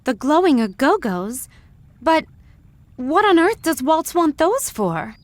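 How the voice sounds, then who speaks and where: A woman's voice: sits high, big pitch swings, slow pace, no echo, heavily acted. A woman voicing a polite, anxious young female rebel in a video game, hesitant and questioning. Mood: earnest, uncertain.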